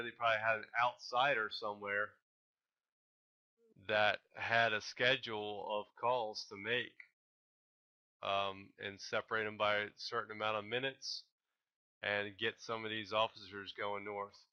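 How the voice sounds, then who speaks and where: Guy with a lowish but sharp bright voice, thinking out loud and rambling with lots of hesitation, muffled home recording. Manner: hesitant, rambling, halting delivery. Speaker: amateur video commentator. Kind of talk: unscripted speculative commentary over a map.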